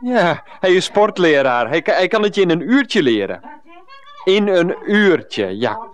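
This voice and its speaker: A man's voice: high voice, sing-song, measured pace. Hesitant, earnest middle-aged Dutch man. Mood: defensive, flustered. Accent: Netherlands Dutch. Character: Freek, pressured 39-year-old ex-teacher, earnest, henpecked by mother. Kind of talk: radio comedy drama acting.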